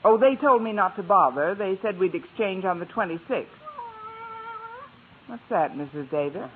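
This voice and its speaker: An actress playing a wry, lonely single English teacher in a 1950s radio sitcom, with dry, deadpan wisecracks. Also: female voice, mid-range voice, moderate pace, natural voice. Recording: tinny, hissy old radio broadcast.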